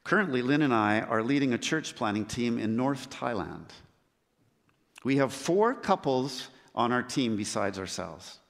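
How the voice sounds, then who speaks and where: Earnest preacher in a reverberant hall. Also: male voice, mid-range voice. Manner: earnest, with measured emphatic pauses. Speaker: missionary preacher. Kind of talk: sermon.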